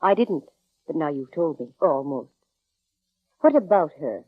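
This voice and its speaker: A breezy, hard-boiled young American female detective, with a low voice. She is wry and brisk in a 1940s radio drama manner. The sound is dry and dulled, like an old broadcast. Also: big pitch swings, slightly rough, no echo, exaggerated voice. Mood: probing, breezy. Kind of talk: 1940s radio acting, first-person detective narration. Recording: dull, band-limited old radio recording.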